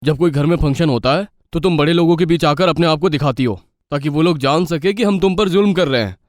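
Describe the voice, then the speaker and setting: A woman's voice: low voice, fast, darkish tone, dry recording, clean studio sound, lightly acted. A woman voicing a cruel, angry young husband in a cartoon story, harsh and insulting.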